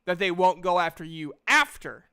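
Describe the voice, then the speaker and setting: Man, game master, bright clear voice, informal, tabletop roleplaying session. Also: sits high, lively intonation, normal speed.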